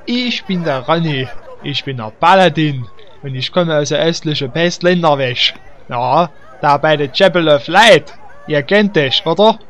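A man's voice: a little high, lively intonation, unhurried, a bit bright, muffled recording. A man playing a chummy, simple paladin with an East German accent, chatty and folksy, in a comic radio play. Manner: folksy, chatty, tag questions.

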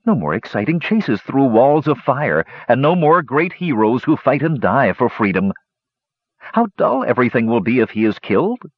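A man's voice: medium pitch, very animated, normal speed, no room sound, pitched above the performer's own voice. A man voicing a flustered male gnome who talks in a breathless, dramatic way. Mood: distraught. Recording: dull lo-fi recording.

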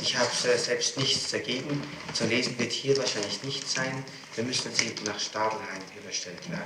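A man speaking as a public prosecutor in formal, halting officialese during a questioning. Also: mid-range voice. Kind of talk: spontaneous official talk at a questioning. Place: echoing room, distant microphone. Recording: noisy echoey distant 1960s tape recording.

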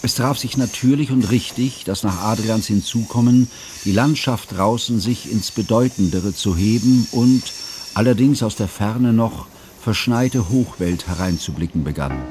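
An educated actor as male narrator in a radio play, with a low voice. He delivers ornate sentences in a measured, deliberate way, like an observant witness, with music behind him. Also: darkish tone, close to an everyday voice. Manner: formal, ornate, deliberate phrasing.

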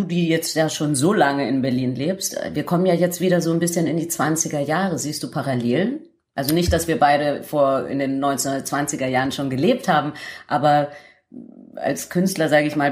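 Low-voiced woman hosting radio talk. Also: a little mellow. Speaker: radio talk show host. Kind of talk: conversational radio interview in German.

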